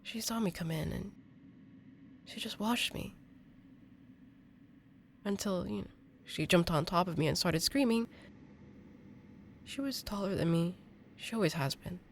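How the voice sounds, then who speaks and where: Young female exorcist played by a woman, slightly low, earnest and halting, audio drama, some noise. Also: lively intonation. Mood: troubled, halting. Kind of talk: intimate audio drama acting.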